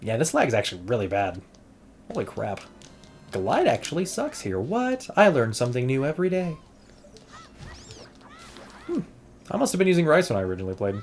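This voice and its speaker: A man's voice: mid-range voice, darkish tone, a little hiss or hum. Rambling male game streamer. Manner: rambling, many restarts and fillers. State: losing his voice. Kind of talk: casual gameplay stream chat.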